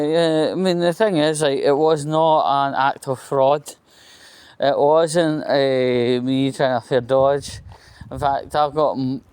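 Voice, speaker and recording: Slow-talking Scottish man, rambling. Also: medium pitch, darkish tone, clean tone. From Scotland. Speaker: vlogger. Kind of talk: rambling casual monologue, telling an anecdote.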